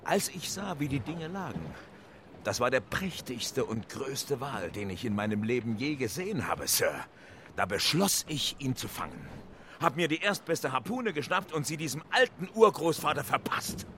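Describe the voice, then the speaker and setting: Hearty seafaring captain, forceful bright voice, very lively pitch, salty storyteller, audio drama, faint background noise. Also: male voice, normal speed. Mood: excited, vivid. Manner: jovial, animated storytelling with salty turns of phrase. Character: hearty English sea captain, one-armed, vivid yarn-spinner.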